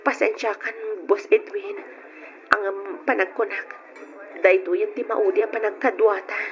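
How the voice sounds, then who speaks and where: A woman's voice: medium pitch, moderate pace, over music, natural voice. A woman with a Filipino Ilocano accent playing an evasive, coy married young woman in an old-time radio drama, hesitating and stammering. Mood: apologetic. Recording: dull, noisy old radio recording.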